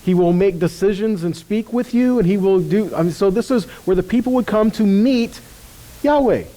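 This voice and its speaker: A man teaches scripture in a relaxed, conversational way. His voice sits a little high and sounds warm and mellow. He often checks in with his listeners as he explains. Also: normal speed, rough recording. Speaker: ministry teacher.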